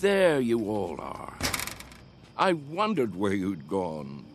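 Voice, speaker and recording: An old fella in a radio play, pleading and nostalgic, swings all over, music behind. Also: male voice, fairly low pitch, wildly swooping pitch, slow, exaggerated voice. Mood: exasperated, prodding. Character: impassioned elderly man urging friends back to youth. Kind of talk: radio drama acting.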